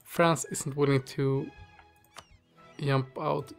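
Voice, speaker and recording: A man's voice: mid-range voice, little pitch movement, slow pace, creaky, soft background music. A man with a stuffy nose and a slightly strained voice, hosting casual strategy game commentary, thinking aloud with many fillers. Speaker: gaming channel host.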